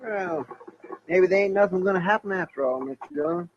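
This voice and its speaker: A man's voice: high-pitched, fairly quick, lightly acted. A man acting an amiable marshal's deputy in a radio Western, with a deferential, homespun rural American drawl. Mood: chatty. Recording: muffled, noisy old radio recording.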